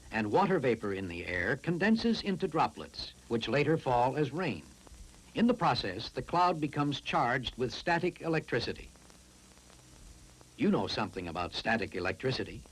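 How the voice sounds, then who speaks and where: A man reads scripted science narration for a classroom film, explaining in a deliberate, instructive way. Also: medium pitch, slightly rough, rough recording.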